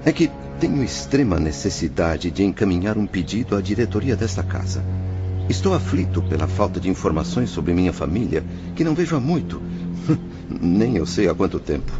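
A muffled radio drama recording with music behind an ill-tempered, anxious patient. His low voice is pompous and ornately formal, full of fretful self-importance. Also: sing-song, moderate pace. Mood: anxious, pleading. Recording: muffled band-limited audio with music behind.

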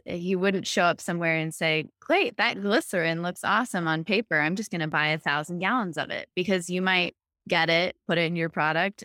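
A woman founder being interviewed, explaining her company's platform in a fluent, steady pitch. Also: no room sound, clean studio sound. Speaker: startup founder. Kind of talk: business interview.

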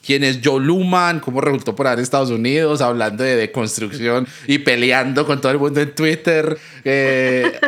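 A young man hosts a relaxed podcast chat. His voice sits at an ordinary middle pitch, and he jokes and laughs easily, slipping in church phrases as he talks. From Colombia. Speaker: podcast host. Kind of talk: casual podcast interview.

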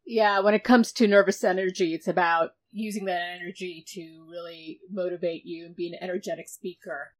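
A woman's voice: on the low side, steady tone, a little fast, hardly any pauses. A woman hosting a podcast, summing up and asking the guest questions in an encouraging way. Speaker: podcast host. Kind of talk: interview questions.

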